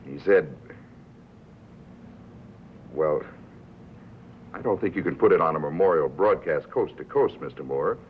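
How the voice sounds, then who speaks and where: An actor playing a reluctant, dry hospital doctor in a 1950s film drama, hesitant and understated. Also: male voice, rumbling low, animated, a little slow, long pauses. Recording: tinny, narrow 1950s film soundtrack, faint noise.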